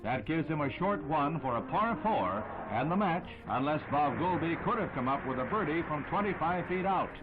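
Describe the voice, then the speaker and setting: A man with an American accent reads a 1960s newsreel script like a punchy, dramatic announcer. His voice sits a little high. Loud music plays behind him, and the old soundtrack is narrow and tinny. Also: expressive, moderate pace, few pauses. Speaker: newsreel narrator.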